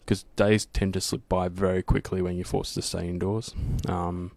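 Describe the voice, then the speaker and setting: A young Australian man chatting in a relaxed, rambling way on a church panel into a handheld mic. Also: deep voice, normal speed, gravelly, no echo. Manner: relaxed, rambling, says sort of often. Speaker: panel guest. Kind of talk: casual panel chat. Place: church studio set, indoors. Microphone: handheld microphone.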